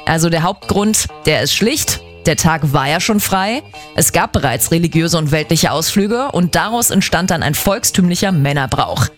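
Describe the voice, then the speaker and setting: A speaker reads a scripted explanation in a didactic, orderly way. Music plays softly behind the voice. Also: medium pitch, expressive, normal speed. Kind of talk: scripted explanation in numbered points.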